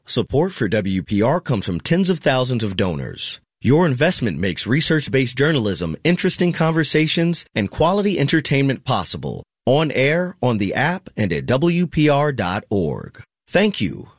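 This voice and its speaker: A man's voice: medium pitch, sing-song, normal speed, no echo, studio quality. A man reading a station's donor thank-you message with emphatic, announcer-style delivery.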